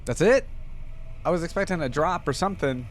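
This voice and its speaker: A man's voice: fairly high pitch, fairly quick, slight background noise. A man hosting a gaming reaction video, giving informal, musing commentary as he thinks aloud.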